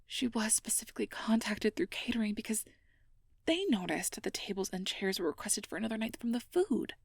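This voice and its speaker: A woman playing a sweet, anxious young mage girlfriend in an ASMR role-play, speaking in a breathy, often whispered voice with earnest, hesitant, careful phrasing. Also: mid-range voice, lively intonation, fairly quick, no room sound, studio quality. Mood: exasperated, flustered. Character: sweet, anxious, conscientious young air mage girlfriend confiding her worries. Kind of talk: ASMR role-play, intimate personal address.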